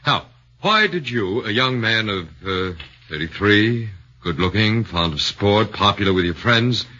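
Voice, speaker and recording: Formal probing lawyer, low bright husky voice, lo-fi old radio. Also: male voice, exaggerated voice. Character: measured middle-aged defense lawyer. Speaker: radio drama actor. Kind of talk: 1940s radio acting. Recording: lo-fi 1940s radio broadcast.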